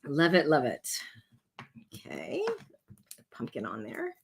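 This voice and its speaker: A woman with a rough, congested voice hosting a casual card-making tutorial, chatting her way through each step as she works. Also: extremely low pitch, huge pitch swings, takes it slowly.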